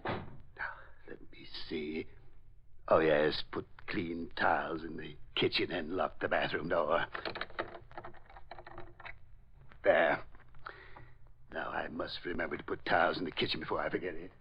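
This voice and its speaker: Dithering, forgetful butler, muffled old radio. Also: male voice, very deep voice, steady tone, normal speed, long pauses. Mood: muttering, muddled. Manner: dithering, muddled, deferential. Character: bumbling, forgetful, servile butler. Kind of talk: 1940s radio acting. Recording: muffled old radio recording, faint hiss, clicks.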